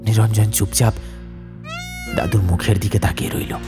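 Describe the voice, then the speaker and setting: A man's voice: fairly low pitch, fairly even intonation, moderate pace, over loud music. A man narrating a horror audiobook in a dramatic, suspenseful, theatrical manner.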